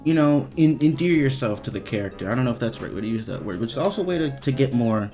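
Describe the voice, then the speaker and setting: A man's voice: medium pitch, animated, fast talker, mellow, soft background music. A young man giving casual, rambling game commentary, hesitant and repetitive, with informal swearing. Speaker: video game reviewer.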